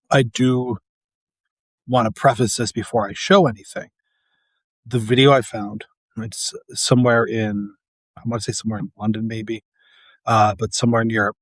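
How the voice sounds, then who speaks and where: A man's voice: on the low side, slightly rough, no room sound. A man who works as a paramedic, talking casually and earnestly to camera, tired and losing his voice.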